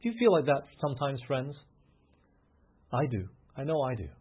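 Male preacher, earnest and emphatic, raspy breathy tone, lo-fi phone-like recording. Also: medium pitch, big pitch swings, moderate pace. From grew up in England, Australia and the US. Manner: earnest, rising to emphatic, frequent restarts. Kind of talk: sermon.

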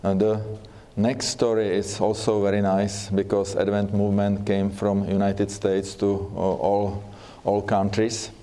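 A man with a Czech accent giving a church seminar talk, speaking haltingly with many fillers and restarts. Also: on the low side, monotone, dark tone. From Czech Republic.

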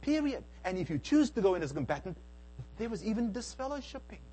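A middle-aged man from South Africa giving an emphatic church talk, swinging between hushed and loud. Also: sits high, big pitch swings, fast talker, breathy edge, low-quality recording. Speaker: speaker at a Bible Q and A. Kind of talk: lecture-style church talk.

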